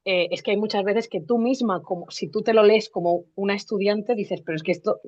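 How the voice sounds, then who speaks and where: A Spanish teacher, a woman from Spain with a Spanish accent, talking in a lively, rambling way with many restarts. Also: mid-range voice, brisk. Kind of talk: live online teaching discussion. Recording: muffled lo-fi call audio.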